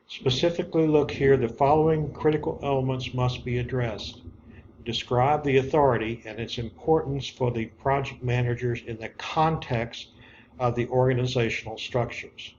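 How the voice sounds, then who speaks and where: A man's voice: medium pitch, a little mellow. Male instructor on dull, noisy lo-fi audio. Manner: plainspoken, instructive, repeats key points. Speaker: online course instructor. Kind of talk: informal screen-share walkthrough of an assignment. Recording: dull lo-fi audio with background noise.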